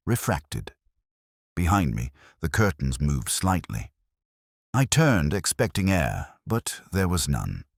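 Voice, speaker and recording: A man with an exceptionally deep voice reads a brooding detective tale as its narrator. His delivery is measured and literary, with lively rises and falls in pitch, and the recording is close and dry. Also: dry recording. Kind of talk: scripted first-person detective story narration.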